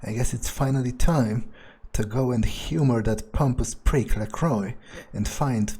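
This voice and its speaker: Arrogant brooding male vampire, played by a man for a game roleplay: dark, husky, slow, menacing. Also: mid-range voice. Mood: brooding, resentful. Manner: haughty, slow, halting, menacing. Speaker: role-playing game streamer. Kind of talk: in-character game playthrough monologue.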